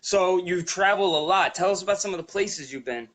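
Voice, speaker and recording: A man's voice: sits high, brisk, a bit bright. A man hosting an interview show, talking in a rambling, encouraging way with many fillers. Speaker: show host. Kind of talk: casual interview talk.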